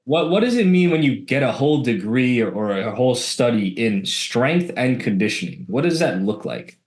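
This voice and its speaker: A man speaks as a podcast host, presenting and putting questions to a guest in conversational style. His pitch is medium, and the room around him rings with noticeable echo. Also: big pitch swings, normal speed. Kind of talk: podcast hosting, introductions and questions. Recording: roomy, echoing sound.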